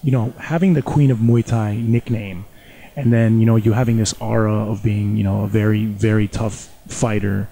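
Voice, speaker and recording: Low, mellow male interviewer, rambling. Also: steady tone, moderate pace, creaky, a little hiss or hum, rough recording. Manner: rambling, repeats filler phrases. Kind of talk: casual phone interview.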